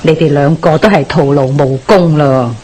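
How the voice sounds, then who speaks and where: A woman playing a cautious, doubtful elderly widow in a Hong Kong Cantonese radio drama, sighing and cautioning in a husky voice. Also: sits low, moderate pace, dark tone. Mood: resigned, doubtful.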